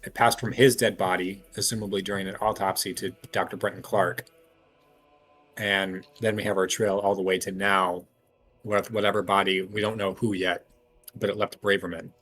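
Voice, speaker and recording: A man's voice: sits low, little pitch movement, normal speed, fairly bright tone, husky. A man talking casually and analytically as he works through a mystery at length as a tabletop game player. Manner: analytical, reasons aloud at length.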